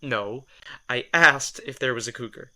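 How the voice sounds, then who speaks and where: A man's voice: medium pitch, measured pace, pushed and bright. An actor playing a teasing young man, wry and playful in an audio drama. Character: teasing young man, a vampire's companion Milo.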